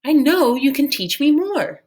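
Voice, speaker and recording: A woman's voice: sits high, very animated, unhurried, a little mellow, voice pushed up. A woman voicing a restless, ambitious man in a folktale, insistent and emphatic. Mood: impatient.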